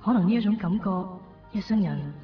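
A woman's voice: medium pitch, animated, fairly quick, recorded from a distance, natural voice. Casting: actress for emotional, devoted young Hong Kong Cantonese woman, radio drama, mid-range voice, dull recording over music. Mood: shaken, reflective. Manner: earnest, emotional. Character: sentimental young woman, devoted and clinging to her sweetheart. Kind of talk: 1990s Cantonese radio drama acting with first-person narration.

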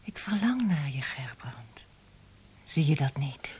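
A woman acting a seductive lover in a radio drama, coaxing in a breathy, whispery voice. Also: deep voice, big pitch swings, a little mellow, full character voice. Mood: seductive, urging. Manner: slow, coaxing.

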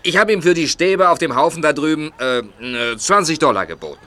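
A man playing a gruff, scornful customer who haggles brusquely and stammers when flustered, in a German audio drama. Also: fairly high pitch, lively intonation, brisk, bright, forceful tone. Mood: smug, insistent.

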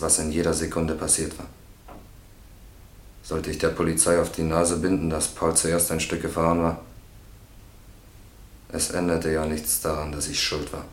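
An actor playing a guilt-ridden defendant in a German radio crime drama, halting and subdued. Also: male voice, rumbling low. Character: guilt-ridden, evasive male defendant confessing in court. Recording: roomy, echoing radio drama studio.

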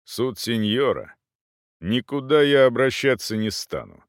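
A man's voice: lively intonation, measured pace, smooth, dry recording, clean studio sound. A man voicing a stern, weary knight with a restrained, curt manner in an audiobook. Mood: cold defiance. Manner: low, restrained, reluctant.

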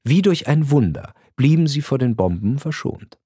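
A male narrator with a medium-pitched voice delivers scripted literary prose for radio. His phrasing is deliberate and measured, like an essay read aloud. The studio sound is dry, with next to no room on the voice. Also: expressive, mellow, slightly rough, no room sound.

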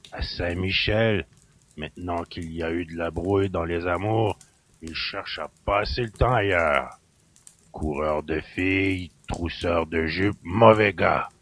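Surly middle-aged innkeeper played by a male narrator, low, slow, grumbling in rustic speech, for an audiobook. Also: fairly bright tone, full character voice. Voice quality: gravelly, growling.

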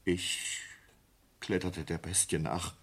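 A haunted sailor making his confession in a radio drama. The voice is low and husky. He tells it haltingly and with agitation, as someone shaken by what he saw. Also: flat delivery, a bit bright, full character voice. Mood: horrified, agitated. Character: distraught male sailor confessing a horrifying witnessed killing. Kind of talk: dramatic German radio play monologue.

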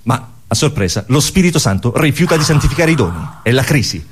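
A man performing scripted absurdist theatre with a mock-solemn, deliberate, declamatory delivery. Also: medium pitch, sing-song, slight background noise. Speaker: stage performer.

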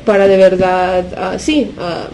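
A woman from the United States, a native Spanish speaker, talking unscripted as a radio interview guest. She speaks haltingly, searching for words and slipping in English fillers. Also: mid-range voice, fairly even intonation, dark tone, slight background noise. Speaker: interview guest from a punk collective.

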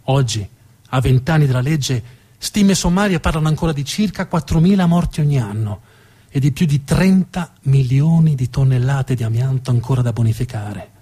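A man giving a scripted dramatic narration as a theatre storyteller, measured and deliberate, with pauses and emphatic repetition. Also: mid-range voice, mellow, husky. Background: plucked guitar music.

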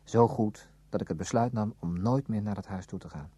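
A brooding man burdened by guilt, with a low, mellow voice. He speaks in a measured, inward, hesitant way, as in a confessional radio play. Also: breathy edge, dry recording. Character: brooding, guilt-ridden man narrating his past, protective. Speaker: narrator and lead character. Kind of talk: Dutch radio drama acting, confessional narration.

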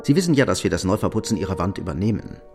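An actor in a German radio drama playing a middle-aged architect, tired, businesslike, guarded and evasive. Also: male voice, deep voice, lively intonation, rapid-fire, over music. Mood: curt, evasive.

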